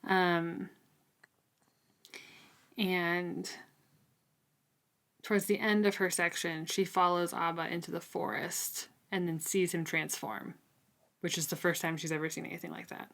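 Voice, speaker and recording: Casual woman with a slightly low voice. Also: little pitch movement, unhurried, gravelly, long pauses, fairly bright tone. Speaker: podcast co-host. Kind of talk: casual book discussion.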